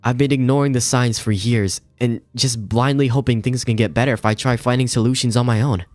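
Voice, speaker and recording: A man voicing a timid, worried young woman from a visual novel, earnest, hesitant and gentle. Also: mid-range voice, steady tone, brisk, voice pushed up, lightly acted. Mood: distressed, resigned. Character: timid, worried young woman seeking help for her grandfather. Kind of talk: visual novel character read aloud.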